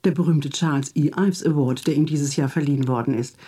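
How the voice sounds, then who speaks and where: A woman's voice: low voice, a little fast, mellow, breathy edge. A woman in her sixties playing a composed, factual research lab director in a radio drama. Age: 60s. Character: older female lab chief, composed and factual.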